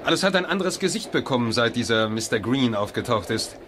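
An actor playing a sober, sceptical lawyer in a German radio crime drama. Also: male voice, mid-range voice, expressive, brisk, lightly acted. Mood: hopeful. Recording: noisy background ambience.

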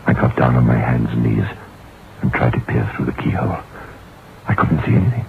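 An actor playing a troubled, curious writer who recounts his dread in a deliberate, suspenseful old-time radio horror monologue. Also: rumbling low, big pitch swings, vocal fry, full character voice. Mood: hushed dread, then mounting fear. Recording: muffled, noisy vintage radio recording.

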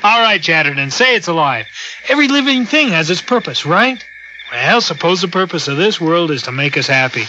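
A man's voice: a little high, big pitch swings, brisk, pushed and bright, full character voice. An actor playing a cheerful, teasing crewman on a space expedition in a radio drama. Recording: muffled, noisy radio recording.

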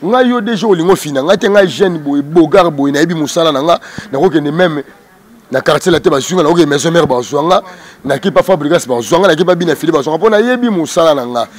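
A Congolese man from Kinshasa speaks very quickly in a fairly high voice, as a guest in a heated interview. He is emphatic and combative, often hammering a word several times over. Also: expressive. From Democratic Republic of the Congo. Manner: emphatic, repeats words, combative. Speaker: interview guest, music group spokesman. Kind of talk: heated street interview in Lingala mixed with French. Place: outdoors on a porch. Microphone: handheld interviewer's microphone.

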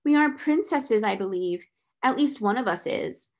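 A woman voicing a haughty, bossy schoolgirl in a storybook, scornful and sharp-tongued. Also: a little high, lively intonation, normal speed. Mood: sarcastic.

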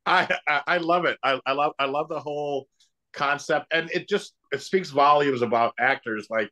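A middle-aged man co-hosting a casual interview over a video call, talking in a halting way with repeated words. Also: fairly high pitch, bright, forceful tone. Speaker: co-host, actor. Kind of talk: casual chat. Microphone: computer video call.

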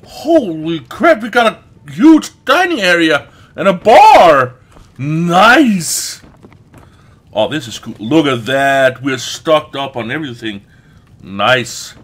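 A man hosts a casual let's play in a fairly high voice that swings up and down a lot. He takes his time, stretching out words, exclaiming and swearing as he goes. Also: very animated, slow, a bit bright. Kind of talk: casual gameplay commentary.

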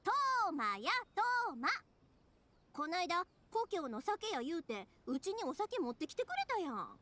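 A woman voicing a bubbly, warm-hearted young craftswoman with a Kansai accent in an anime game. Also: very high voice, sing-song, moderate pace, a little hiss or hum, full character voice. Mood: reassuring, exasperated. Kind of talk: Japanese anime game voice acting.

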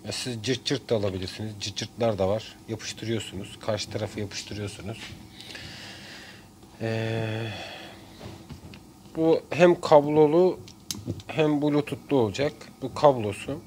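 A man giving a casual hands-on tutorial in short phrases with frequent pauses. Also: lowish voice, a little mellow. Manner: halting, pausing between short phrases. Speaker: DIY video maker. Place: indoors at a workbench. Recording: clear background noise, room ambience.

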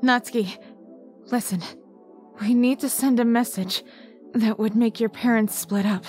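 A refined, brooding young anime woman played by a voice actress, somewhat high in pitch. She speaks slowly and formally, with a weary weight, over music. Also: fairly even intonation, darkish tone, natural voice. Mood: grave. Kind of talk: animated visual novel acting. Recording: music behind the voice.

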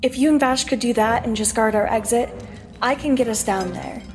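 An actress playing a gentle, careful female spellcaster in a fantasy audio drama. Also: a little high, moderate pace, lightly acted. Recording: long hall reverb, faint music.

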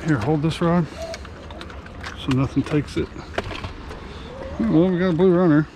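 Man, high voice, folksy fishing host, outdoor boat noise. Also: measured pace. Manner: folksy and upbeat, addressing viewers as y'all. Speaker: fishing channel host and charter captain. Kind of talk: casual vlog narration. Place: open boat on the water outdoors. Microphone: action camera on the boat. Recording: outdoor boat recording with engine and water noise.

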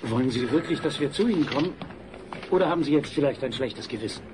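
A man's voice: medium pitch, animated, fast, background noise, natural voice. A man playing a blunt, sceptical office colleague in a comedy audio play.

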